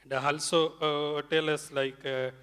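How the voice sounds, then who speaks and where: A man hosts an informal panel with a forceful, bright voice. It sits a little high for a male speaker. Long reverb from a hall surrounds his questions. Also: little pitch movement, a little slow, smooth. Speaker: moderator of a student panel. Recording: reverberant hall over microphone.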